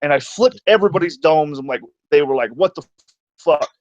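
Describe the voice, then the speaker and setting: A man's voice: a little high, expressive, a little fast, dry recording, highs cut off. A man hosting a live stream, talking bluntly with some swearing and stuttering when he speaks off the cuff. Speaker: stream host. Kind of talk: casual chat.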